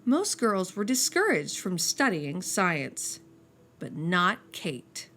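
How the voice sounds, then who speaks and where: Slow, lively woman reading a picture book. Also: on the low side, a little hiss or hum. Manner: slow, expressive reading that drops soft on each refrain. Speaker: storyteller. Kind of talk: children's picture book read-aloud.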